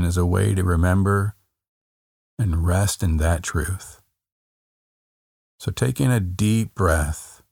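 Casting: man with a very low voice, unhurried, gentle meditation guide, dry close sound. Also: husky, dry recording. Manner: slow, soft, calm, repeats phrases with long pauses.

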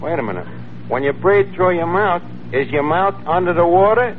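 An actor playing a wisecracking, pretentious lowbrow New York tavern manager with mock-refined diction in a 1940s radio comedy. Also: male voice, animated, moderate pace. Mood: sardonic, breezy. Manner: sardonic, mock-refined, mangles grammar and fancy words. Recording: tinny, noisy 1940s radio recording.

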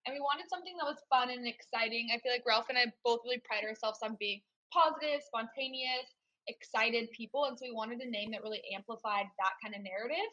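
Upbeat woman guest, bright and forward tone, higher pitch, eager storyteller. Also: fast talker, muffled recording. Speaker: podcast guest, business co-founder. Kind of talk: casual interview storytelling.

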